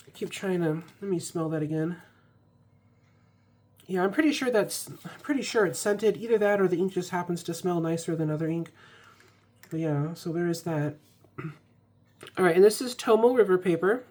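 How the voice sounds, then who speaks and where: A woman's voice: lowish voice, darkish tone. A young woman recovering from a cold, chatting casually with ums and restarts as she reviews an ink. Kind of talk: casual product review vlog.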